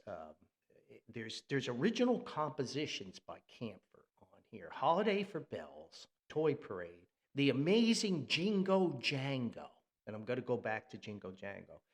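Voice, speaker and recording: A man with a New Jersey accent, hosting a podcast and talking about music in a rambling, enthusiastic way, with fillers and chuckles. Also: mid-range voice. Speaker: podcast host and music critic. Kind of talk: casual, unscripted podcast commentary.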